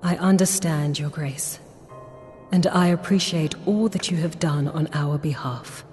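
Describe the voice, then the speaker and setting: An actress playing a reserved, dignified lady envoy in a fantasy game, speaking calmly and respectfully. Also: sits low, unhurried. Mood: respectful, resigned. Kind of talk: dramatic fantasy game dialogue. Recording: long hall reverb with faint music.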